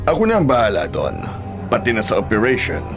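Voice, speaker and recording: A man's voice: lowish voice, huge pitch swings, brisk, music in the background. An actor in a Filipino radio drama playing a menacing, mocking middle-aged surgeon father, taunting and theatrical, mixing Tagalog and English. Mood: smug, businesslike.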